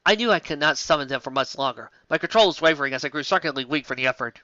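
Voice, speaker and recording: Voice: medium pitch, expressive, racing, bright, forceful tone, no room sound, voice pushed down. A reader playing a melodramatic, self-important young heroine narrating a story with solemn, overwrought delivery, slightly lo-fi. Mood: grim, dramatic.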